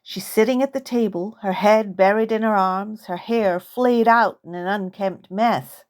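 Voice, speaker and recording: A woman author narrating a first-person story in character as a child, with expressive, dramatic storytelling. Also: medium pitch, unhurried. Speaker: author reading own novel. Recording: music under the voice.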